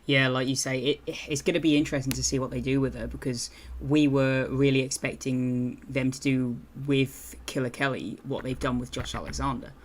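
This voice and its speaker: A British man co-hosting a podcast, his voice sitting flat and steady at a middle pitch. He talks things through evenly and checks in with his co-hosts as he goes. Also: moderate pace, hardly any pauses. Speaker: podcast co-host. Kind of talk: casual wrestling podcast chat.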